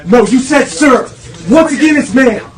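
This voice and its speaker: A woman as an angry store customer, shouting, cursing and demanding answers in a heated confrontation. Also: high-pitched, expressive, measured pace, poor audio.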